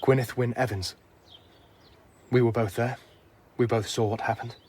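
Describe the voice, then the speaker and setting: A man's voice: mid-range voice, steady tone, moderate pace, darkish tone, natural voice. A man playing an earnest, troubled young doctor in a radio drama, polite but pressing. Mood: tense, insistent. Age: young adult.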